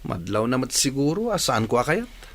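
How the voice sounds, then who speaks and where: A man's voice: medium pitch, sing-song, normal speed, a bit bright. A man playing a young adult son reluctantly answering his mother in a radio drama. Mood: reluctant, subdued. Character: reluctant grown son defending his love life.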